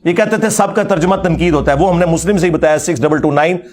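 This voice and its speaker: A man's voice: a little high, fast talker, darkish tone. A middle-aged man with a Pakistani Punjabi accent giving an informal, polemical religious lecture in a combative, mocking, emphatic way.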